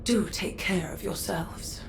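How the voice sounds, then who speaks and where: A woman's voice: medium pitch, slow pace, creaky. A haughty female magistrate from a sci-fi game, speaking in a mid-range voice with formal, condescending poise. There is faint noise behind her. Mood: smug.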